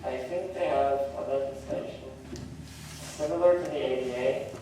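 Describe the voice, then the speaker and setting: A man's voice: medium pitch, moderate pace, warm tone. A man speaking informally as a panelist, sharing personal observations in a discussion. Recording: very echoey, distant mic, faint noise.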